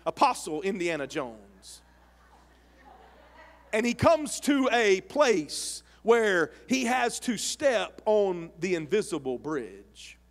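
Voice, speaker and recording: A man in his forties preaching a sermon on a church stage into a handheld mic, animated and emphatic, rising to shouts. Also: high voice, takes it slowly. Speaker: pastor. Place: large church sanctuary stage with audience. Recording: long hall reverb.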